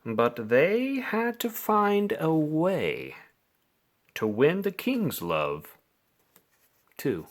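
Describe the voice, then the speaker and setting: A man reads a bedtime story to children at a very slow pace. His pitch swings in a lively way, and he stretches words out theatrically. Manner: deliberate, theatrical phrasing with drawn-out words. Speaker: storybook reader.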